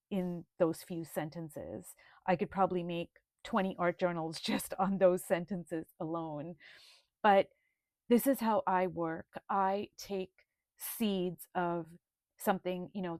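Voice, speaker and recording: A woman presenting her art journal in a video, speaking in a slow, thoughtful, gently earnest way. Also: lowish voice, no echo. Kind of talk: reflective video flip-through.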